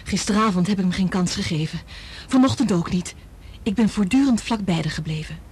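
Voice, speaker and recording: A woman's voice: medium pitch, lively intonation, fairly quick, a little mellow, breathy edge. An actress in a radio drama playing an anxious, determined woman scientist who speaks urgently and earnestly. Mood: anxious, distressed.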